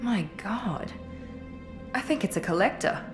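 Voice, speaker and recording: A woman's voice: mid-range voice, animated, a little slow, reverberant, music in the background, lightly acted. A woman playing a cool, composed covert operative in a video game, clinical and detached. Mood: shocked. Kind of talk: video game cutscene acting.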